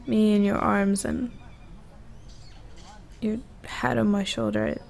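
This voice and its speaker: A woman playing a vulnerable young woman confessing love in an intimate ASMR roleplay, halting and hesitant, often repeating her words. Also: medium pitch, steady tone, gravelly, a little mellow, slight background noise. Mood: overwhelmed, tender.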